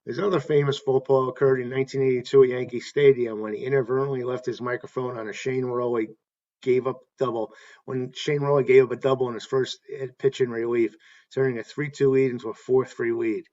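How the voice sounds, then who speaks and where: A man hosting a tribute talk, reading anecdotes in a halting way and correcting himself as he goes. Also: medium pitch, level tone, dark tone, muffled recording. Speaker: host paying tribute to a stadium announcer.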